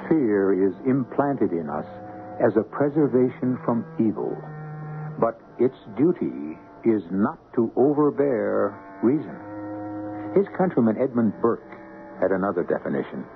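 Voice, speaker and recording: An American man hosting a radio mystery series, narrating from a script in a measured, portentous, theatrical way. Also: on the low side, big pitch swings, creaky. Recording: tinny 1970s radio broadcast, music behind.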